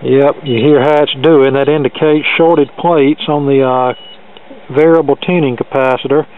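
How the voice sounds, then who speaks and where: A man's voice: medium pitch, steady tone, normal speed, slight background noise. A man giving an informal, matter-of-fact hands-on repair demonstration, explaining as he goes. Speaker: hobbyist radio repairer, presenter. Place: indoor cluttered electronics workbench. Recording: narrow phone-like sound.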